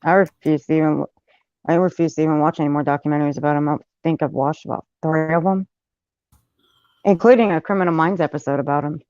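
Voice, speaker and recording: A woman's voice: deep voice, steady tone, fast, a little mellow, no room sound. A woman chatting casually as a panelist on a true-crime livestream, over dull online call audio. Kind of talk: casual group livestream chat.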